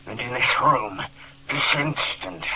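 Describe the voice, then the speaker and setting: A man's voice: mid-range voice, animated, slow pace, bright, forceful tone, heavily acted. An actor playing a menacing, fiendish madman in 1940s radio horror, urgent, threatening and grandiose. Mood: sinister gloating. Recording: noisy 1940s radio recording with hum.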